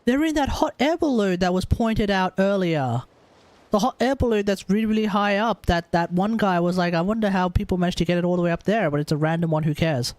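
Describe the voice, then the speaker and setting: A woman's voice: mid-range voice, a little fast, a little mellow, no room sound. Australian woman casually commentating on gameplay. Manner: conversational, musing aloud. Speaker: livestream gamer. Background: game music.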